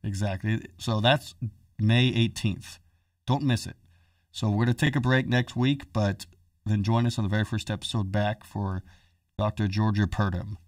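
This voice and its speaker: A man's voice: on the low side, steady tone, normal speed, dry recording, clean studio sound, far from the mic. Man, podcast host, casual joking chat, set back from the mic, dead room. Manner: joking, chatty storyteller.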